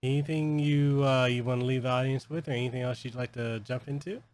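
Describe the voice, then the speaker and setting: A man's voice: medium pitch, fairly even intonation, a little fast, a little mellow. A man hosting a casual interview, giving short agreeing replies and laughing along. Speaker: interview host.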